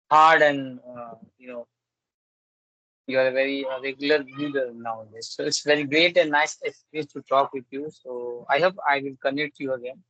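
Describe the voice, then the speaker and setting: A man whose first language is Hindi or Bengali, talking in a casual English practice conversation, halting with many pauses and restarts. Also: medium pitch, fairly bright tone, dry recording, dull audio. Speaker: conversation partner.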